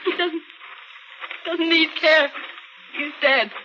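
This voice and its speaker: Nervous, frightened young heroine: high-pitched, halting melodrama, on a muffled old radio recording. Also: female voice, steady tone, background noise. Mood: dazed. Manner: halting, anxious, breathless melodrama. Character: nervous, frightened young woman haunted by a corpse. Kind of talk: 1940s radio thriller acting.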